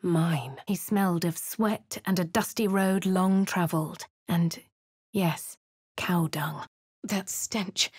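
An actress with a posh English accent playing a composed, wry female adventurer in measured narration. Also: fairly low pitch, slightly rough, no room sound, clean studio sound. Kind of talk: game character voice lines.

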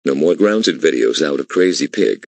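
A scheming cartoon prankster in a man's text-to-speech voice. The pitch is extremely low and swings widely, with a gravelly creak and stilted phrasing. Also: animated, normal speed, a little mellow, dry recording, exaggerated voice. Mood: smug, scheming. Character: scheming blue cartoon troublemaker who makes prank calls. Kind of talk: scripted animated dialogue.

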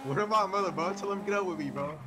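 A man's voice: sits high, very fast, noisy background. A young man talking in character during an online roleplay game, boastful, slangy and full of profanity. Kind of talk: casual in-character street banter during gameplay.

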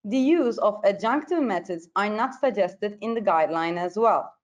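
A dull, slightly lo-fi recording of a woman with a fairly high voice and a Turkish accent. She reads a scripted academic presentation in a formal, careful manner, like an online lecture over slides. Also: darkish tone. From Turkey. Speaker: presenter, periodontology postgraduate.

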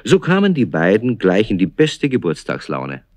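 Male narrator, very low voice, lively intonation, children's story, dry studio sound. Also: normal speed, no echo.

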